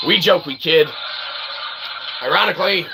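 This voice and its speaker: A man hosting his own channel, delivering a rambling, wordy philosophical monologue with sudden excited outbursts. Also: sits high, very noisy. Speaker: channel host.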